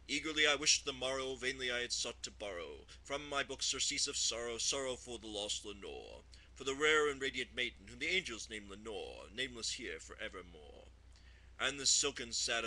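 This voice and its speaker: A man recites poetry in a theatrical, emphatic style. His voice sits at a medium pitch with a very bright, forceful edge. The delivery swings widely in intonation, as dramatic verse reading from an amateur voice actor. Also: rough recording.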